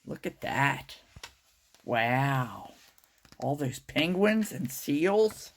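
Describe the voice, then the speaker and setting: Slow, low-voiced woman reading a storybook. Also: gravelly. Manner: slow, drawn-out, expressive storytelling for young children. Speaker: storytime reader. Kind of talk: expressive read-aloud of a children's picture book.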